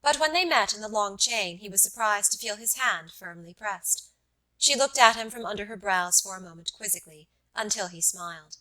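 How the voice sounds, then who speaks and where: A woman narrating a classic short story as an audiobook reader, with measured literary delivery. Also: medium pitch, lively intonation, bright, forceful tone, poor audio. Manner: measured literary reading, voicing dialogue lightly.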